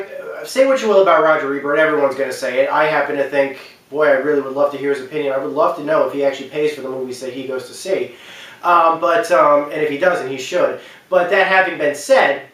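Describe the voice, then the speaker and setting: In a very echoey room, some distance from the microphone, a man talks off the cuff. He has a slightly high voice and rambles through his opinions, hesitating, doubling back and leaning hard on key points. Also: fairly bright tone. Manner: rambling, hesitant, often backtracking. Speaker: host giving video commentary. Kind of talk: unscripted opinion talk.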